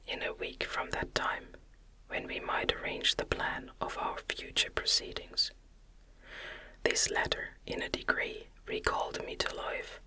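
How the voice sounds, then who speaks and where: Slow, soft ASMR whispering narrator. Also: low-quality recording. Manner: soothing, measured, deliberate phrasing. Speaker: audiobook reader.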